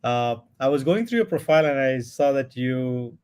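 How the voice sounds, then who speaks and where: A man hosts an interview show, greeting his audience formally and putting questions to his guest. His voice sits at an ordinary middle pitch. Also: animated, normal speed, darkish tone, clear, resonant tone. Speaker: host. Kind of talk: interview hosting.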